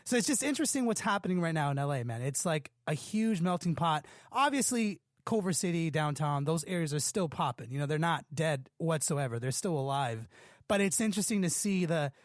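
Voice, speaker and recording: A man's voice: fairly high pitch, animated, a little fast, no echo, clean studio sound. A man from Los Angeles hosting a podcast, talking casually with lots of fillers like "like" and "man". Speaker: podcast host. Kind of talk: casual interview chat.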